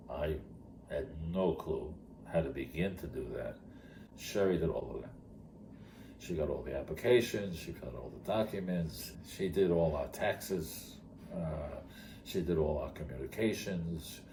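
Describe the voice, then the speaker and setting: A man's voice: deep voice, dark tone. An older man telling a personal story conversationally, in plainspoken, short emphatic phrases. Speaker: retired teacher telling his story. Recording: clearly noisy background.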